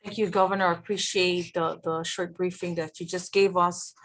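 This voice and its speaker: A woman, a state senator, moderating a virtual town hall with polite, brisk handoffs. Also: on the low side, flat delivery, a bit bright.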